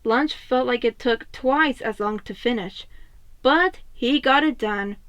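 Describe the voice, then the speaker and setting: Woman reading fanfic, high voice, takes it slow, really dry close recording. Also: a bit bright, dry recording. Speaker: fan fiction narrator. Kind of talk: expressive scripted story narration.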